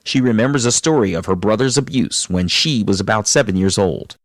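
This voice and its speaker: A man's voice: lowish voice, brisk, dry recording. A man hosting a podcast, interviewing a guest and recapping her story in narration, with attentive murmurs. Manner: attentive, frequent affirming murmurs.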